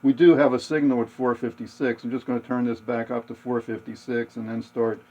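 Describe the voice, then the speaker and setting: A man giving a casual hands-on technical demonstration, thinking aloud in a halting, matter-of-fact way. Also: medium pitch, flat delivery, warm tone. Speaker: radio repair hobbyist demonstrating. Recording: dull lo-fi home video audio.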